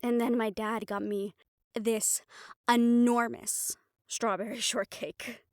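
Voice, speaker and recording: A woman playing a gentle, caring young banshee in an intimate audio roleplay, speaking softly and soothingly, with some phrases trailing into a whisper. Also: a little high, flat delivery, slow pace, dry recording, studio quality. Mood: fond, reminiscing. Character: gentle young female banshee from Puerto Rico, caring crush. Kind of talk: intimate audio roleplay acting, one-sided conversation.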